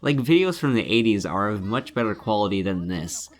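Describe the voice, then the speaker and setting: A man's voice: lowish voice, expressive, a little fast, very noisy. A man delivering scripted game review commentary in a scornful, sardonic manner, swearing freely.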